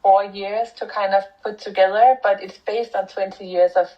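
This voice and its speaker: A woman's voice: mid-range voice, steady tone, normal speed, muffled recording. A middle-aged Danish woman with a Danish accent, an author and anthropologist answering interview questions in a thoughtful way, with fillers and restarts. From Denmark. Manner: reflective, with frequent fillers and restarts.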